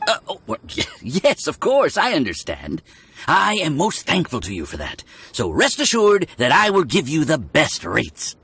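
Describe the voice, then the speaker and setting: A man's voice: fairly high pitch, huge pitch swings, measured pace, sharp tone, slightly rough, no echo, heavily acted. A man playing an effusive, ingratiating landlord with gushing, polite speech, in a video game. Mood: nervous, eager. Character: effusive, ingratiating male landlord, flowery and eager. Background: music.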